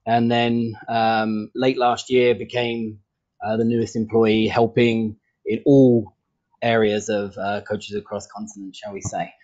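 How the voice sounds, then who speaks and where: A man's voice: on the low side, level tone, measured pace, darkish tone, lo-fi sound. A man with an English accent, a football coach introducing himself casually on an online training session, with many ums and a self-deprecating humor. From England.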